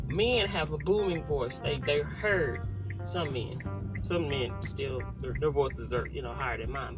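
A young woman hosts her own podcast in a loose, conversational monologue, speaking informally as if to a friend. Loud music plays behind her voice throughout. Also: low voice. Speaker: podcast host.

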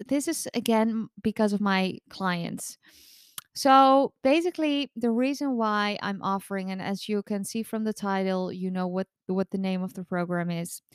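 A woman's voice: mid-range voice, clean tone, dry recording, studio quality. A nasal-voiced woman, hosting her own podcast in a chatty, rambling way with many restarts. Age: 30s. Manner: chatty, rambling with frequent restarts and self-corrections. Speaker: podcast host and recovery coach. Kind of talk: casual solo podcast chat.

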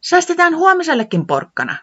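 Nainen esittää pientä, mietteliästä olentoa, joka puhuu epäröiden ja vihjaillen korkealla äänellä. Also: female voice, extremely high pitch, wildly swooping pitch, sharp tone, muffled recording, voice pushed up, exaggerated voice. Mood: hinting. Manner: hesitant, hinting, wistful.

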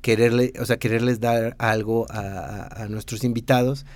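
A man's voice: mid-range voice, fairly even intonation, normal speed, a little mellow, no room sound. A Mexican man in his thirties with a Mexican accent, a designer and teacher chatting casually in an interview, rambling with many fillers and restarts.

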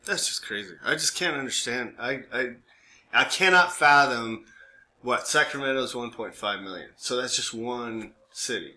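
A man's voice: medium pitch, expressive, moderate pace, bright, forceful tone. An American man co-hosting a podcast in casual banter, rambling and jokey as he interrupts himself. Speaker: podcast co-host. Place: small room, recorded for a podcast and live stream. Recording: clearly noisy background.

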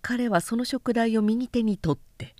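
A woman narrating literary fiction aloud as an audiobook, with measured, deliberate phrasing and pauses. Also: fairly high pitch, darkish tone, no echo, clean studio sound. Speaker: narrator reading a novel aloud.

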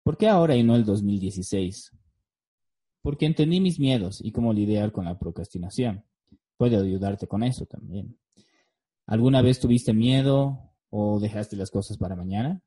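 A man in his thirties with a Bolivian accent, hosting a podcast solo and reading prepared narration in an earnest, deliberate manner. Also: fairly low pitch, dark tone, breathy edge. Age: 30s. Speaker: podcast host.

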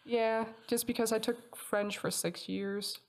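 Gravelly young woman. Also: a little high, fairly even intonation. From Denmark. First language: Danish. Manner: measured, matter-of-fact, laughs lightly. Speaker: guest. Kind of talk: casual interview chat.

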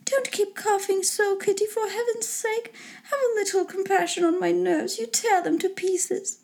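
A woman voicing an excitable middle-aged mother with frayed nerves in a storybook reading. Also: moderate pace, gravelly. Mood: fretful scolding. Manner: shrill, complaining, gushing, exclamatory.

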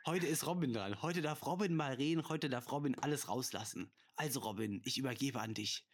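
A man's voice: medium pitch, a little fast. A man hosting a casual podcast, joking around, laughing often and rambling through his lines. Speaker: podcast host.

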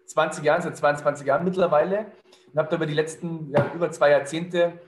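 Man, middle-aged, businesslike consultant, slightly high voice, echoey room. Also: fairly even intonation, fast talker, a little hiss or hum. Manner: fluent, businesslike, many fillers. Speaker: leadership consultant. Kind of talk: conversational interview answer.

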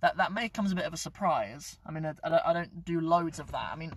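Chatty man, slightly husky, distant mic. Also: fairly high pitch, animated, fast. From England. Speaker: YouTube channel host. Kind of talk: casual live-stream chat. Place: inside his parked car.

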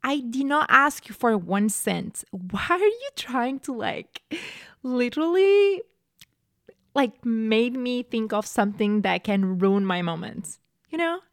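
A woman's voice: a little high, slow pace, dry recording, clean studio sound. Earnest young woman. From Portugal. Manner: earnest, animated when telling a story. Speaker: podcast host. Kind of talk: casual reflective monologue.

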